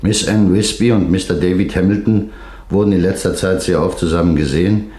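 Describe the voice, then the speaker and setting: An actor playing a gruff, dismissive police detective in a German crime radio play, curt and sarcastic. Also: male voice, low-pitched, steady tone, a little fast, mellow, reverberant, lightly acted. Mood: sardonic. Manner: curt, sardonic.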